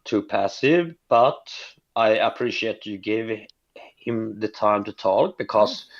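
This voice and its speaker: A man from Sweden whose first language is Finnish, calling in to a show, speaking haltingly and apologetically with many fillers. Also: lowish voice, expressive, muffled recording. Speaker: caller. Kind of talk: casual phone call-in.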